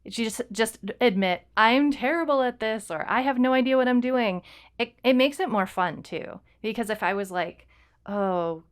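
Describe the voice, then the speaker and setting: A woman's voice: on the high side, moderate pace, clear, resonant tone. A woman co-hosting a podcast, talking casually and explaining things in an informal way. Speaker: podcast co-host and fiction writer.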